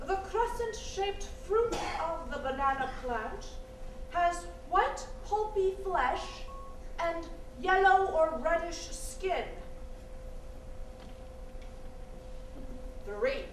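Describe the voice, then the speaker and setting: An actress with an American accent playing a wry, wistful Korean American adoptee in a live stage monologue, speaking dramatically and deliberately with pauses. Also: female voice, very high voice, animated, exaggerated voice. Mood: mock-formal. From United States. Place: live stage performance in a venue. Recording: roomy, noisy, distant stage recording.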